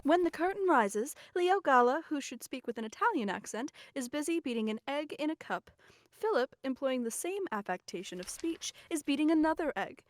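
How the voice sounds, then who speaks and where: An eager young-at-heart novice actress in a radio play. Her high voice is polite and careful, with a formal read-aloud delivery. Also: sing-song, normal speed. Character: eager novice actress reading stage directions. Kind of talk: theatrical radio play acting.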